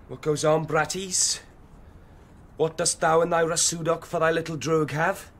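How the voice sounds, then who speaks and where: An actor playing an insolent, volatile teenage street thug in a dystopian radio drama, speaking theatrical slang. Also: male voice, a little high, steady tone, measured pace, fairly bright tone. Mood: cocky, aggrieved. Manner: theatrical slang-heavy speech. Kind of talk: radio drama acting and narration.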